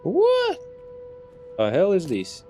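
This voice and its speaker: A man's voice: high-pitched, huge pitch swings, slow, loud music behind the voice. A young man from Belarus with a Russian accent, casually commenting on a game as he plays and musing aloud about what he finds. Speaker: streamer.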